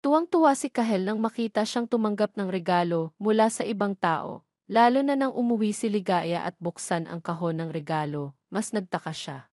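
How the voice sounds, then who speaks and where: A woman's voice: medium pitch, normal speed, darkish tone, dry recording, studio quality. A woman reading scripted narration for an audio drama love story.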